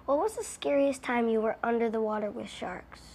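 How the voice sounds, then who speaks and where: A teenage girl as a student reporter, asking brisk, scripted interview questions. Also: a little high, darkish tone, slight background noise.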